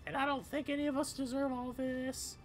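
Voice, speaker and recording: High-voiced woman reading game dialogue aloud. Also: level tone, fairly quick, faint music behind. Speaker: game streamer voicing characters.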